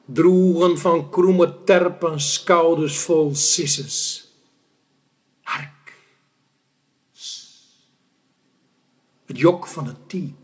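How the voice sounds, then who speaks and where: Guy reciting a poem super slow and declamatory, voice a bit high, big pauses. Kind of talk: dramatic poetry recitation.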